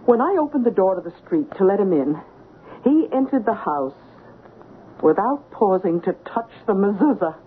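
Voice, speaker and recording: Earnest actress as a woman, very expressive, old radio. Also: mid-range voice, moderate pace, narrow, tinny audio, close to an everyday voice. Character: sharp, earnest female household assistant, resolute. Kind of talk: 1970s radio drama acting. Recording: thin, faintly noisy 1970s radio broadcast.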